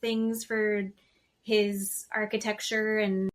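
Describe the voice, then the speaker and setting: A woman's voice: medium pitch, level tone, takes it slowly. A woman co-hosting a podcast, recapping television in a loose, informal, chatty way. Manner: informal, peppered with "like", quotes people conversationally. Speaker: podcast co-host. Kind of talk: casual chat recapping TV.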